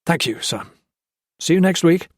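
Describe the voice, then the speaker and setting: A man's voice: a little high, lively intonation, normal speed, no room sound, studio quality, pitched above the performer's own voice. A man voicing a stern, relentless army lieutenant who presses his questions firmly and coldly. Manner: firm, relentless, cold.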